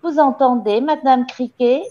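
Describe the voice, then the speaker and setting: A woman's voice: high-pitched, animated, a little slow, dark tone, highs cut off, pitched above the performer's own voice. A woman reading a story as a domineering headmistress, mock-polite and commanding with a menacing false sweetness. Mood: loud, gloating.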